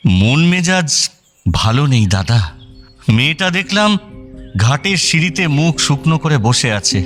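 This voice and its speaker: A man's voice: fairly high pitch, big pitch swings, measured pace, music in the background. A man acting a timid, worried middle-aged father in a radio drama, hesitant and deferential. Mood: downcast.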